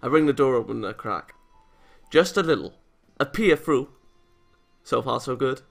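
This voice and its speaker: A man reading a visual novel aloud as a let's-play narrator, expressive and theatrical, with game music behind him. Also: medium pitch, moderate pace, gravelly.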